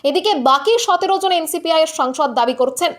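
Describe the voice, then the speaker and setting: The high, fast voice of a woman, reading the bulletin on an online news channel. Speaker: news presenter for a political news channel. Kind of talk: scripted news narration.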